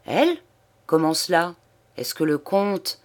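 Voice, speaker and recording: A woman reading an audiobook, voicing an excitable, talkative old man who is vain and emphatic. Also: lowish voice, normal speed, lightly acted. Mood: shocked, agitated. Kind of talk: audiobook character dialogue.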